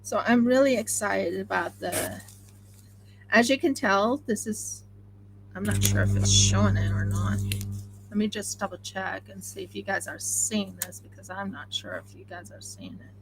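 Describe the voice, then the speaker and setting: A woman with an Oklahoma accent chatting excitably and rambling on a home craft live stream while she sews. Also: medium pitch, moderate pace, vocal fry, background noise. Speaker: craft channel host. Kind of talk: casual live-stream chat while sewing.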